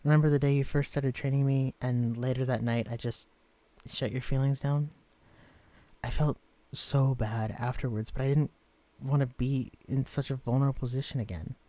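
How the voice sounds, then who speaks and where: Male actor as a shrunken romantic lead, guarded yet tender, earnest and soft-spoken, for a listener-directed audio drama; ordinary mid-pitched male voice. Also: fairly even intonation, normal speed, no echo, lightly acted. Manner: earnest, vulnerable, softly confessional. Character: shrunken man, tender but guarded, easily shaken.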